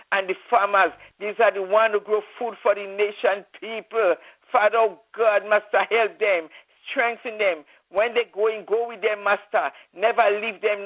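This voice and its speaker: A man's voice: sits high, steady tone, normal speed, fairly bright tone, low-quality recording. A man leading a fervent spoken prayer, calling out "oh God, Father" between his phrases. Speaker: prayer leader.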